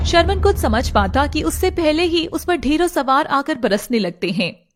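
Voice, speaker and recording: A woman's voice: sits high, lively intonation, normal speed, a little hiss or hum. A woman narrating a scripted story in a dramatic storytelling style.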